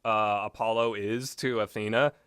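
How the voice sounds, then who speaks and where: A man's voice: fairly low pitch, steady tone, moderate pace, fairly bright tone, clear, resonant tone, no echo, studio quality. A man giving unscripted, opinionated talk as a host, wandering off on tangents and swearing casually. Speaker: host of a video game discussion show.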